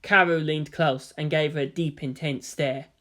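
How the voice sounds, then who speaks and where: A woman's voice: sits low, steady tone, normal speed, fairly bright tone. A woman narrating a scripted story as its reader.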